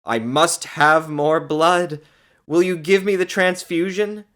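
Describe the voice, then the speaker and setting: A man's voice: fairly high pitch, slow pace, fairly bright tone, pitched above the performer's own voice. A man voicing an imperious, bloodthirsty woman in a horror audiobook, with an ecstatic, demanding and scornful manner. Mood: angry demanding. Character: wild, imperious rejuvenated woman turning bloodthirsty vampire.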